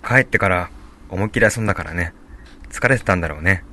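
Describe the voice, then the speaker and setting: A man playing a calm, supportive young father and husband in a radio drama, speaking in a low-key, casual way. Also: quick pace. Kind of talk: amateur radio drama dialogue.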